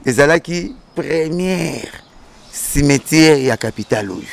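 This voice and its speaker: A man's voice: medium pitch, creaky. A man telling long, digressive stories as a studio guest, often repeating words and restarting. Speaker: guest storyteller. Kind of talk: animated storytelling chat.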